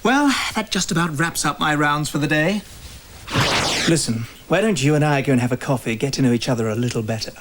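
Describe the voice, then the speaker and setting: Man playing a breezy, high-ish voiced doctor. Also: brisk, heavy background noise, natural voice. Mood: flirtatious. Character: smooth-talking, flirtatious hospital doctor on his rounds. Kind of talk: comedy sketch acting.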